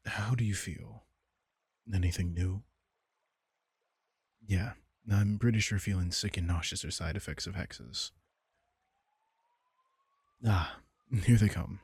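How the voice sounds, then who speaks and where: A man playing a protective, worried vampire king in an intimate comfort audio roleplay, speaking in a hushed, tender, deliberate way with a gravelly, husky voice. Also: deep voice, no room sound, heavily acted. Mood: grave, explaining, then soothing.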